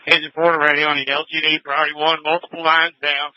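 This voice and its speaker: A man's voice: a little high, little pitch movement, fast, pushed and bright, dry recording, dull audio. A man reporting from an emergency scene as a fire officer over two-way radio, businesslike and urgent. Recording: band-limited emergency scanner radio.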